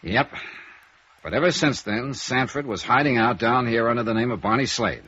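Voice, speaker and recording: A man's voice: mid-range voice, moderate pace, bright, forceful tone, breathy edge. An American man acting a tough, probing private investigator in a 1950s radio noir, narrating and questioning in a clipped, sardonic way. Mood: brisk, intrigued. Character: tough, probing male freelance insurance investigator who narrates. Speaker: lead actor in a radio detective series. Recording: dull, noisy old radio recording.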